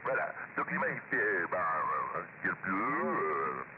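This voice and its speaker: A man with a Moroccan accent chatting on an amateur radio link, his voice sitting rather low. He talks in a rambling, hesitant way, full of fillers and restarts. It comes through a thin, tinny radio band. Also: big pitch swings, slow. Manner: rambling, hesitant, repeats "voilà" constantly. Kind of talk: casual radio contact chat. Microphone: radio transceiver mic. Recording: noisy, tinny shortwave radio transmission. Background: loud radio static.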